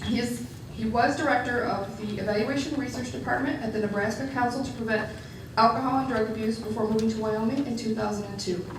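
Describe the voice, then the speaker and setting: A woman's voice: a little high, fairly even intonation, fast talker. A woman formally reading out a speaker's introduction at an event. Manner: formal, reads from script. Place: large hall. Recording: echoey hall, distant mic, background noise.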